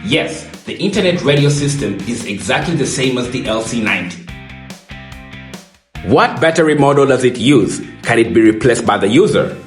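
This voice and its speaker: A man reading a scripted question-and-answer product explainer in a measured, explanatory way as a presenter. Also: medium pitch, lively intonation, roomy sound, soft background music.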